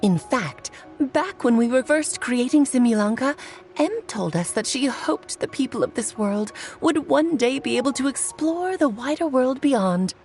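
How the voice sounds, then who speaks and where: A woman's voice: sits high, expressive, a little slow, a little mellow, soft background music. A woman playing an elegant, teasing, mysterious witch in a video game, poised and theatrical. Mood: gracious. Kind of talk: video game character acting.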